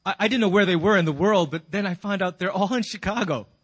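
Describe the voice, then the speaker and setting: Higher-voiced man addressing church informally. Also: fast talker, slightly rough. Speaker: pastor introducing guest speakers. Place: high school cafeteria used for church.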